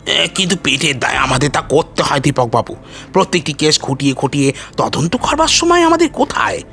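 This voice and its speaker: Man playing a high-pitched, flustered police officer. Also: sing-song, fairly quick, sharp tone, full character voice. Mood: defensive, placating. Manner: hesitant, hemming, apologetic. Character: blustering but timid male police officer, flustered and deferential. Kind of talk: Bengali audio story acting. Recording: music behind the voice.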